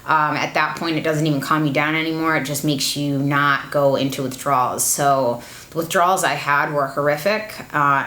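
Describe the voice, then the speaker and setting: A young adult woman giving a blunt, monotone life update to her camera at home, with fillers along the way. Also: sits low, roomy sound. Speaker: beauty YouTuber. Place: room at home, echoey. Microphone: camera with ring light.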